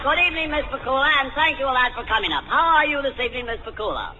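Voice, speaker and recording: Exasperated high-pitched quizmaster. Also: male voice, animated, very fast, sharp tone, heavily acted. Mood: polite, brisk. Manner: exasperated, sarcastic, insulting. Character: exasperated, sarcastic male quizmaster scolding his panel. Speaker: quizmaster host. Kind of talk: 1940s radio comedy quiz hosting. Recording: echoing muffled noisy 1940s broadcast, audience. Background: studio audience.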